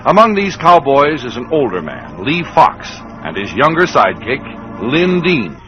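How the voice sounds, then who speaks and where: Animated male radio narrator, muffled vintage sound. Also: mid-range voice, a little slow. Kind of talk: dramatic scripted radio narration, 1950s. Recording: muffled old radio recording. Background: faint music.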